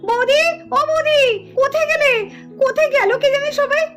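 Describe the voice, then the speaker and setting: A woman's voice: very high-pitched, fairly even intonation, fairly quick, soft background music. A woman voicing an irritable, easily startled, proud young aunt in a puppet cartoon, scolding with dramatic indignation. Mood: indignant. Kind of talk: exaggerated Bengali doll-play cartoon acting.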